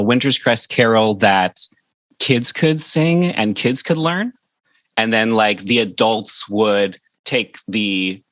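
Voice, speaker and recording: Low, hesitant male composer. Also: a bit bright, no room sound. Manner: hesitant, full of fillers, friendly. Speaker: composer, guest. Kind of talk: casual interview chat.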